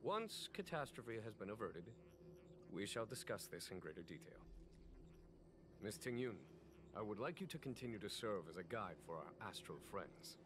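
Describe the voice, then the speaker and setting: A man playing a shrewd, gracious general in a video game, speaking in a measured and formal manner. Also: fairly low pitch, very animated, noisy background. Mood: gracious, solemn. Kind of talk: scripted game dialogue, English dub. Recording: game music behind the voice. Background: background game music.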